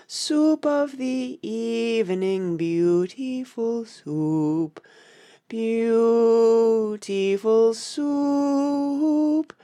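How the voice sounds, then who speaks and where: A woman's voice: on the high side, dark tone. A woman playing a doleful, sentimental Mock Turtle with a ponderous, mournful delivery in an audiobook. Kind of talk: full-cast audiobook character acting.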